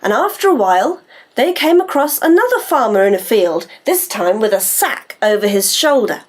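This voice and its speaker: A British woman telling a story to young children in an animated way and coaxing them to join in. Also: a little high, normal speed.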